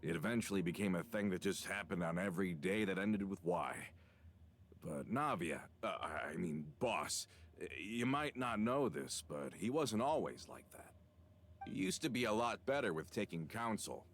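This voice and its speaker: An actor playing a loyal, gruff older member of an organization in a video game, explaining things earnestly. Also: male voice, sits low, expressive, moderate pace, a little hiss or hum. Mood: earnest, wistful.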